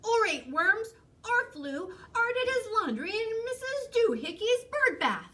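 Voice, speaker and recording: Woman playing a loud, scolding mother cat. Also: a little high, sing-song, unhurried. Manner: stern, emphatic lecturing. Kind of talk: animated storybook read-aloud. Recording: distant mic, noisy room.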